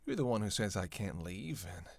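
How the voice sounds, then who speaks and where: A man's voice: lowish voice, sing-song, a little fast, creaky, darkish tone, no room sound, full character voice. Man playing a cocky, flirtatious vampire, close and dry. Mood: teasing, hungry. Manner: teasing, foul-mouthed, playful, intimate delivery with sighs. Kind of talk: scripted roleplay audio drama addressed to listener.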